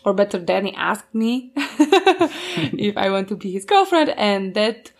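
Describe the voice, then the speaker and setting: A woman's voice: medium pitch, sing-song, measured pace, creaky. A woman in her twenties with a Slovak accent, co-hosting a casual couple podcast in English and laughing often. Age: 20s. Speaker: podcast co-host.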